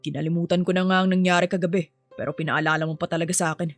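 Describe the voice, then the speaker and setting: A woman's voice: fairly low pitch, steady tone, very fast, no echo, faint music behind, pitched below the performer's own voice, natural voice. A woman voicing a serious, terse male boss and father in a dramatised story, curt and dry.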